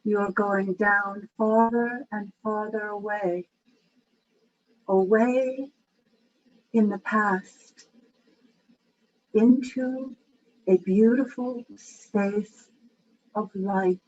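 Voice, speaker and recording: Slow, even-voiced woman guiding meditation. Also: mid-range voice. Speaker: guided meditation leader. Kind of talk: guided meditation. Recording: dull, lo-fi with faint background noise.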